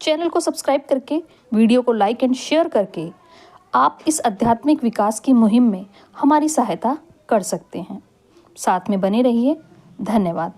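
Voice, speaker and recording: A woman with a fairly high voice and a dark, mellow tone delivers scripted narration. She speaks as a channel host introducing an audiobook. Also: expressive, normal speed.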